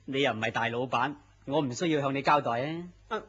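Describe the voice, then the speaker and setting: An actor playing a smitten, mild young office employee in a Hong Kong Cantonese radio drama, polite and earnest. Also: male voice, medium pitch, a little fast, a crowd in the background, natural voice. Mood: dreamy, defensive. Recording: muffled lo-fi audio with faint noise.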